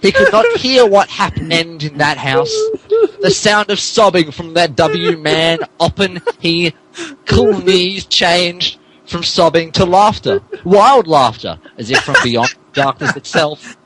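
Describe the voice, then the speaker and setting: A man's voice: high-pitched, sing-song, slow, background noise. A man, a podcast host, narrating a comic dramatic reading of fan fiction theatrically and stumbling over the text.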